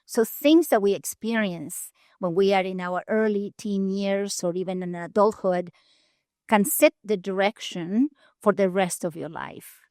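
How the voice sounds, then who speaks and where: A woman from Argentina, a nonprofit leader telling her story in an earnest, measured way with deliberate pauses. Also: mid-range voice, steady tone, darkish tone, dry recording, clean studio sound. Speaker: guest, nonprofit CEO. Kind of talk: storytelling interview answers. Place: conference venue.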